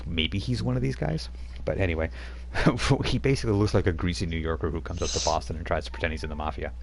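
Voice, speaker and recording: A deep-voiced man narrating as game master, wandering through his descriptions with loose, offhand asides. A faint hiss runs under the voice. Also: quick pace, gravelly. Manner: loose, rambling scene-setting with casual asides.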